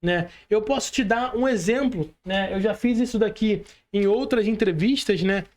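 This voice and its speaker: A man's voice: sits high, moderate pace. A Brazilian man talking motivationally on a podcast in an earnest, colloquial, exhorting way, often checking that the listener follows.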